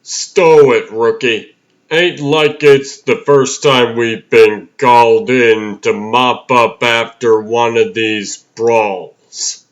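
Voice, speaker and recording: A man voicing a gruff, world-weary veteran cleanup worker in a comic dub, with a folksy, slangy, dismissive way of talking. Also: mid-range voice.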